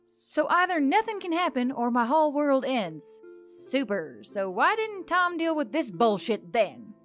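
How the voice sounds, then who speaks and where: A woman's voice: high-pitched, big pitch swings, a little slow, a bit bright, soft background music, voice pushed up, heavily acted. A woman voicing a reluctant, frustrated young heroine with a sardonic, informal manner, in an audiobook story. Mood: exasperated.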